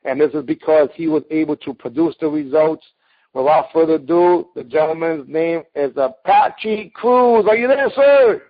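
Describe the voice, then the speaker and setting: Emphatic, higher-pitched male host, lo-fi sound. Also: moderate pace. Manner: emphatic, effusive, respectful, often addressing guest as sir. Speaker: interviewer, host of a martial arts talk show. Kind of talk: casual interview, enthusiastic introduction.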